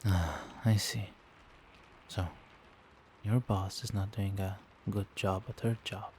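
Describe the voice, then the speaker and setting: Man playing a low, soft-spoken doting vampire boyfriend. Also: fairly even intonation, slight background noise. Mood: tender, concerned. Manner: soothing, unhurried, attentive. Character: doting male vampire boyfriend, gentle and protective. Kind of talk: soft-spoken ASMR roleplay. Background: rain.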